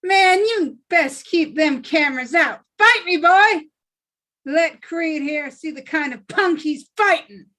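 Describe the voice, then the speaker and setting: Cocky young male boxer, street slang swagger, read by a woman, high and unhurried. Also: lively intonation, bright, forceful tone, exaggerated voice. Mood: taunting. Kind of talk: live online table read.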